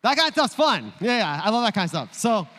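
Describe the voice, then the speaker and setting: A man's voice: high voice, very animated, a little fast, fairly bright tone. A male pastor preaching a sermon in a playful, joking storytelling way. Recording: long reverb in a large room.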